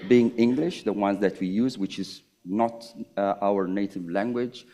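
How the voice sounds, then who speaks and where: Hesitant man, lowish voice. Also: dark tone. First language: Italian. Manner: halting, hesitant, with many pauses and restarts. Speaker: panel speaker. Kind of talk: reflective public conversation.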